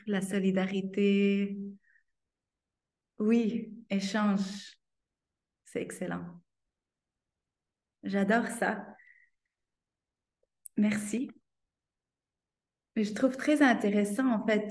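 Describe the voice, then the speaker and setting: A woman's voice: mid-range voice, a little mellow. A woman hosting an online information session, upbeat and engaging the audience. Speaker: host and moderator. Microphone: video call.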